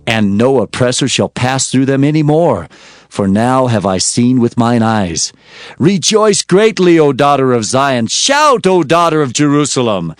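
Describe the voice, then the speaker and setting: An actor playing a fervent biblical prophet, declaiming solemn oracles in a dramatized scripture reading. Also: male voice, medium pitch, big pitch swings, unhurried, no echo, clean studio sound. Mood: solemn, proclaiming. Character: fervent male Old Testament prophet proclaiming oracles. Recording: music behind the voice.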